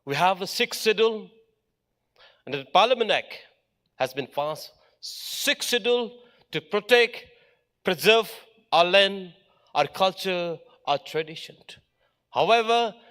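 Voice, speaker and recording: Animated man giving a speech. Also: on the high side, normal speed. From Meghalaya, India. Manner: emphatic, lists points in short phrases. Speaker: official speaker at an education event. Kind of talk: formal speech to an audience.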